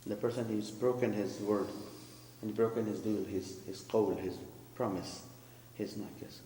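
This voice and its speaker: A man's voice: lowish voice, warm tone. Man preaching in an echoey hall. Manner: explains terms, asks the congregation. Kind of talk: sermon commentary. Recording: echoey hall with faint hum.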